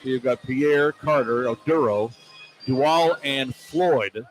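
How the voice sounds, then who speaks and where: An American man doing excitable, partisan live radio play-by-play of a basketball game as the announcer. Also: medium pitch, takes it slowly, faint music behind. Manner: excitable, partisan toward the home team. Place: courtside in a basketball arena. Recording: noisy live arena radio broadcast. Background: crowd and arena noise.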